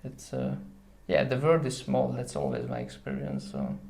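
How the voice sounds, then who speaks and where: Male podcast guest, Hungarian accent, gravelly fry, hesitant conversational delivery. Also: mid-range voice, big pitch swings, moderate pace, a little mellow. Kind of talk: casual conversational interview.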